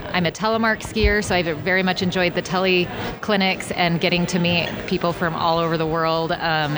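A woman's voice: fairly low pitch, background noise. A woman, a telemark ski instructor, answering interview questions in an upbeat way with frequent ums. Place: bar and restaurant at a ski lodge.

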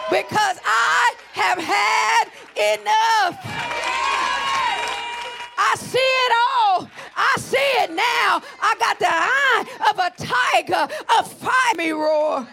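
A man preaching a sermon, shouting in a repetitive, chanting, half-sung way in a church. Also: very high voice, creaky. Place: church sanctuary. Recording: live church with crowd behind.

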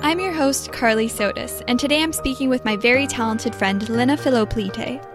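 Woman, podcast host, interviewer, scripted introduction style, fairly high pitch, music behind. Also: moderate pace.

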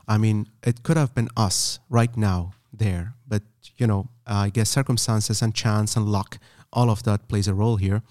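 A man hosting a podcast, talking earnestly with many pauses and fillers. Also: lowish voice, steady tone, a little mellow, no echo, clean studio sound. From Afghanistan. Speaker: podcast host. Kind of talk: casual podcast conversation. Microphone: podcast microphone.

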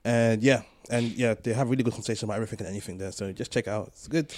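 A man's voice: on the low side, very fast, darkish tone. A man hosting a casual group podcast, bantering in slang. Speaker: podcast host.

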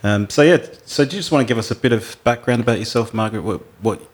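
A man's voice: medium pitch, fast talker. A man hosting a podcast in a casual, chatty style. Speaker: podcast host. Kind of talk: casual chat.

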